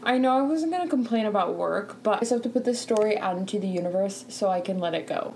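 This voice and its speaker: Young woman, host, casual chat, laughs often, filler-heavy, mid-pitched voice. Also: expressive, quick pace, gravelly, a little mellow, a little hiss or hum. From Canada. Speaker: podcast host.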